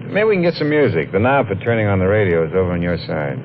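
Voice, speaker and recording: A man's voice: low-pitched, very animated, fast talker, noisy background. An actor playing an edgy working-class man in his twenties in a 1950s noir radio drama, colloquial and plain-spoken. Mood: casual, uneasy. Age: 20s. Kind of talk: 1950s radio drama acting, first-person noir narration. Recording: muffled old radio recording, music behind.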